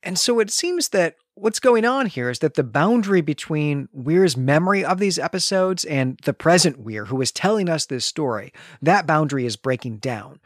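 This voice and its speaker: A man co-hosting a literary podcast, recapping a story in a precise, articulate, semi-scripted way with clear emphasis. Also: a little high, expressive, moderate pace, hardly any pauses, dry recording, clean studio sound. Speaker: podcast co-host.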